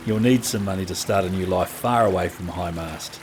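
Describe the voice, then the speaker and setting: Seasoned male rogue chief: low, measured, protective, reassuring. Fantasy audio drama with music underneath. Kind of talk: full-cast fantasy audio drama acting.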